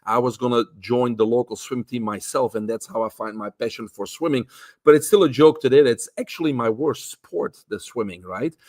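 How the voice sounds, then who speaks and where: A man in his fifties from Belgium with a Belgian accent, a guest relaxed telling his life story in casual talk with many fillers. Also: mid-range voice, normal speed. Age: 50s. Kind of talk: casual interview chat.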